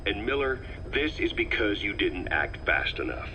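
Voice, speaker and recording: A man's voice: lowish voice, sing-song, moderate pace, sharp tone, heavily acted, low-quality recording. A man playing a cold, insistent military interrogator in a video game, speaking in a blunt, accusatory way. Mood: stern pressure. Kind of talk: scripted video game dialogue over radio. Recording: band-limited radio tape, clear background noise.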